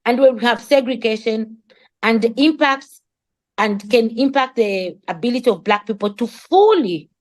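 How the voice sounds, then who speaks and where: A woman's voice: fairly high pitch, lively intonation, normal speed. A woman who speaks English as a second language, giving an impassioned advocacy speech in an emphatic, rhetorical manner. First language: not English. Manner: emphatic, rhetorical questions, repeats words for emphasis. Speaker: speaker at a community summit.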